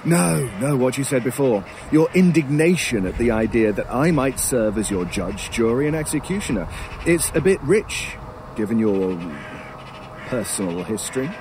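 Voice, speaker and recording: A man's voice: mid-range voice, expressive, normal speed, darkish tone, close to an everyday voice. Guy acting a snooty talking crow for an audio drama, super polite and macabre, wordy, lots of outdoor noise and cawing behind. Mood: sardonic, needling. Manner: wordy, pompous, mockingly polite. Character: urbane, sardonic male talking crow, gleefully macabre scavenger. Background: outdoor ambience, crows, vehicles.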